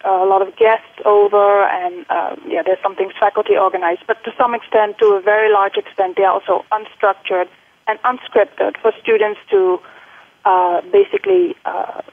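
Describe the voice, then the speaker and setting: A woman's voice: medium pitch, animated, normal speed, vocal fry. Dutch-accented woman on a phone line. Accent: Dutch, with British and American touches. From Netherlands. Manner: hesitant, many fillers and restarts. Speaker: guest, college faculty member. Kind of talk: interview conversation.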